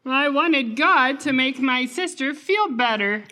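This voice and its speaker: Lady doing a little boy puppet, high voice, slow n halting, earnest church show kid. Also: expressive, sharp tone, exaggerated voice. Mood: innocent, eager. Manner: halting, pompous when praying, otherwise plain childlike talk. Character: earnest young boy puppet, worried about his sister.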